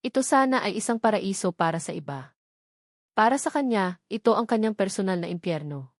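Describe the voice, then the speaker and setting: A woman's voice: medium pitch, lively intonation, a little fast, dry recording, clean studio sound. A woman with a Filipino accent giving a dramatic scripted narration of a romance story as an audiobook narrator.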